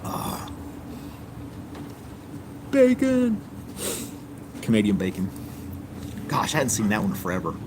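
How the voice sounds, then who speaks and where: A man's voice: on the low side, wildly swooping pitch, takes it slowly, long pauses, noisy background. A man from the United States hosting a live stream, chatting casually and reading viewer comments in an easygoing, rambling way with many ums.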